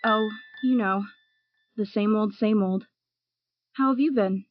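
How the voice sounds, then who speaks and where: A woman's voice: mid-range voice, measured pace, dull audio, music in the background, natural voice. A woman playing a mysterious girl who texts in a casual, offhand way. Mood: breezy.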